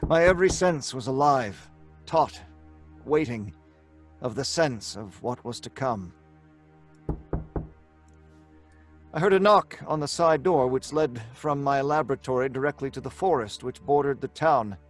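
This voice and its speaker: An actor playing an obsessed young scientist in old-time radio horror style, earnest, dramatic and deliberate. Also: male voice, medium pitch, expressive, faint music behind, natural voice. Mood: tense anticipation.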